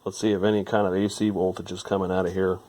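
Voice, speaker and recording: A man with a very low voice narrates a hands-on electronics repair in an informal way. He thinks aloud as he works, wandering a little from point to point like a hobbyist explaining the job. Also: level tone, fast, dark tone.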